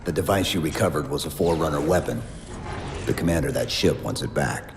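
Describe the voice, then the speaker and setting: An actor playing a stoic, commanding armored super-soldier in a video game, speaking tersely. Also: male voice, rumbling low, lively intonation, fast talker, background noise. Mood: grave. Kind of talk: video game cinematic acting.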